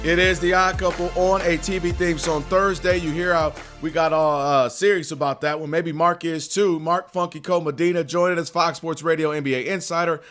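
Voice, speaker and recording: Animated high-voiced sports talk co-host. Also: male voice, a little fast, hardly any pauses, soft background music. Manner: animated, emphatic, restarts a lot. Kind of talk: sports talk radio banter.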